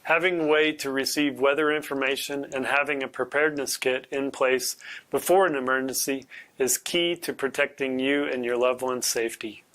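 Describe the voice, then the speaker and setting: A man's voice: mid-range voice, fairly even intonation, moderate pace, a bit bright. A man with a middle-range voice reads a scripted public information message. He presents it in the role of a weather service technician.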